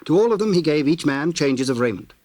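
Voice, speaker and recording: A man narrating dramatized scripture in a measured, solemn and formal manner. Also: on the high side, animated, darkish tone, no echo.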